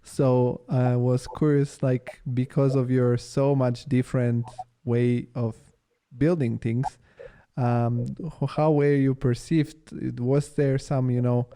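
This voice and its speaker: A male interviewer with a soft-edged, mellow voice at a middling pitch. He grew up in Bulgaria and Italy. His questions come casually and haltingly, as if he is working them out aloud. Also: little pitch movement, smooth. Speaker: podcast host, interviewer.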